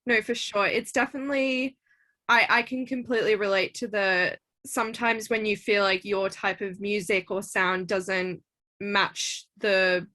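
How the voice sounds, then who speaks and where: Casual female host, bright tone, chatty and encouraging, lots of filler words. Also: mid-range voice, fairly even intonation, a little slow. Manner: chatty, many "like"s, eager and encouraging. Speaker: interviewer, show host. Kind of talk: casual interview chat.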